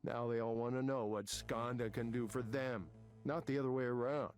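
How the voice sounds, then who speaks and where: A man's voice: medium pitch, steady tone, moderate pace, lightly acted. A man playing an angry, middle-aged veteran tech manager in a visual novel game, combative, sarcastic and foul-mouthed. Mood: scornful. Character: angry veteran male tech manager, arrogant, bitter, ranting. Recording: game audio with music behind. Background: music.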